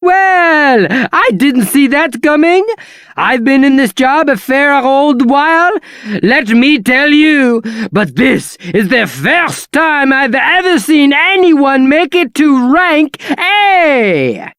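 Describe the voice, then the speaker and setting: An excitable, courteous battle arena attendant from a video game with a British accent. The delivery is theatrical and very lively in pitch, unhurried, in a dry recording. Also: female voice, dry recording, full character voice. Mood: thrilled. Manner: polite, theatrical. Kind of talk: video game character acting.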